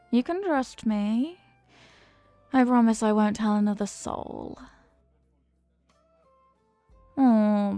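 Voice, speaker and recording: Woman acting a playful flirty female stranger, intimate ASMR roleplay, lightly high voice, teasing murmur, dry close sound, faint music. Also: mellow, no echo. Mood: coy, teasing. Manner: coy, teasing, unhurried murmuring delivery.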